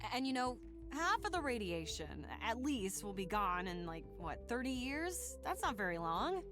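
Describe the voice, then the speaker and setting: A woman voicing a brash, hot-tempered, sardonic female robot character in a video game cutscene, blunt and expressive. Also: on the high side, moderate pace, music in the background. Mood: awkwardly upbeat.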